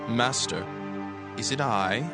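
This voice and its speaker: A man's voice: medium pitch, takes it slowly. An actor playing a questioning, self-interested male disciple in a dramatized scripture reading, with a pointed manner. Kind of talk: dramatized scripture, archaic English. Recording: voice over prominent music.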